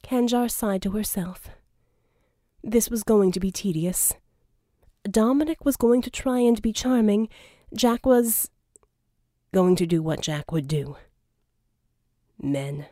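A woman's voice: medium pitch, lively intonation, takes it slowly, long pauses, mellow, no room sound, clean studio sound. A woman reading scripted fiction as an audiobook narrator.

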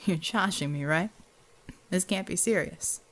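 A woman's voice: fairly low pitch, unhurried, natural voice. A woman playing a wry, laid-back American rebel man in an audiobook, with a folksy, casual way of talking.

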